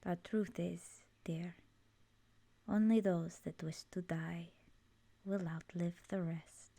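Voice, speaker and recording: A woman voicing an ancient, immortal swamp witch in an ASMR roleplay, soft-spoken and often whispery, gently knowing and wistful. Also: fairly low pitch, fairly even intonation, takes it slowly, creaky, breathy edge, no room sound, full character voice. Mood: wistful, somber.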